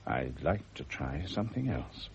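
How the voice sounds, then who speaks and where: An actor playing a calm, frank male doctor who reasons patiently and deliberately in a radio drama. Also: extremely low pitch, creaky, full character voice. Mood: measured, frank. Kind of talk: 1970 radio drama acting. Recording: dull, noisy old radio recording.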